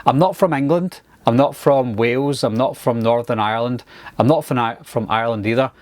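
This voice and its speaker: Glaswegian male vlogger, mid-pitched, earnest and patient, dry close sound. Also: fairly quick, no echo. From Glasgow, Scotland. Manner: earnest, patient, lots of fillers and restarts. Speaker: YouTuber. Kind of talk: casual talk to camera.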